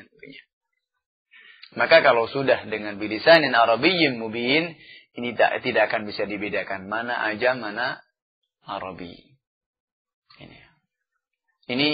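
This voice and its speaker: Man, religious teacher, husky voice, slow chanted verses then explanation, dull lo-fi recording. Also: mid-range voice. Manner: chants Arabic verses slowly, then explains with many "ya" fillers. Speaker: Islamic teacher. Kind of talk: religious study lecture.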